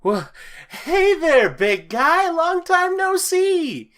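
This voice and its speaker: A man's voice: very high voice, sing-song, drawn-out words, a bit bright, full character voice. A man voicing a boastful, evasive, graying fennec fox bounty hunter in a theatrical, glib way. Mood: nervous pleading.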